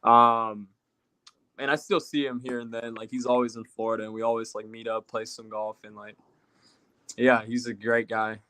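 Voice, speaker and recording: A man's voice: medium pitch, little pitch movement, moderate pace, bright, forceful tone, no room sound. A young man from Puerto Rico, a guest telling rambling stories in casual chat with many fillers.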